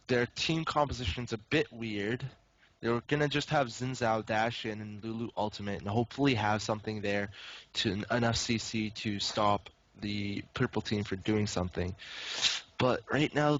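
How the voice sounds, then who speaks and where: A young man with a South African-Australian mix accent breaks down the match in a relaxed, chatty way. His voice sits fairly low. The recording sounds dull and lo-fi. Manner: relaxed, chatty analysis with filler words. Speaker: esports caster. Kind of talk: game commentary.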